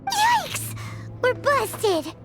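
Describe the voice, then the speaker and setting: A woman voicing a tiny, cheeky childlike fairy companion in a video game, squeaky and blunt. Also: wildly swooping pitch, drawn-out words, exaggerated voice. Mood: startled. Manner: blunt, exclaiming. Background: game background music.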